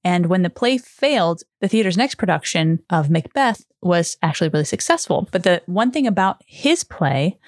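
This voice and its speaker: A woman's voice: on the low side, lively intonation, normal speed, hardly any pauses, dry recording. Chatty woman, close dry sound. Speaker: podcast co-host. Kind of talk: casual conversational storytelling.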